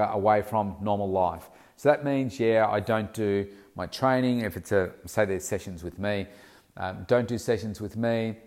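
A man with a somewhat low voice and a snuffly, blocked-nose sound from hay fever. He talks as a personal fitness coach, laying out plans step by step in an easy, conversational way. He fills his pauses with ums and uhs. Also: darkish tone. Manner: conversational, instructive, talks in steps with frequent ums. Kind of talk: casual advice monologue.

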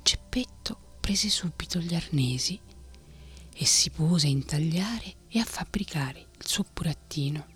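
Softly whispering woman storyteller. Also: deep voice, lively intonation, husky, faint music behind. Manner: soft whispering, unhurried. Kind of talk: whispered ASMR story reading.

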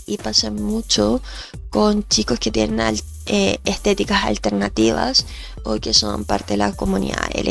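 A woman's voice: medium pitch, creaky. A woman hosting a podcast, sounding nasal from allergies and explaining thoughtfully with fillers and restarts. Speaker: podcast host and researcher.

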